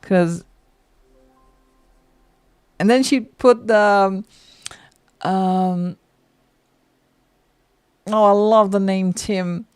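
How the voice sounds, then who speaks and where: A woman's voice: medium pitch, fairly even intonation, drawn-out words, pauses often, darkish tone, clear, resonant tone, dry recording, studio quality. A middle-aged woman from the Netherlands with a Dutch accent, chatting casually and laughing often while she colours on a livestream. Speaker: livestream host.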